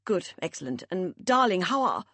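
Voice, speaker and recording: A woman's voice: on the low side, big pitch swings, moderate pace, pushed and bright, no echo, exaggerated voice. A woman, reading aloud and voicing an affectionate, cheerful older father. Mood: eager. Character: older British father, smooth-talking and eager.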